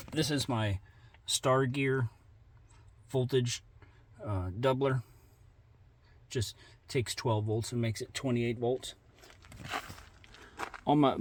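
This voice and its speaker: A man with an American accent giving a casual, plainspoken hands-on walkthrough as a vlogger. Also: medium pitch. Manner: plainspoken, informal. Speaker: vlogger showing his vehicle radio install.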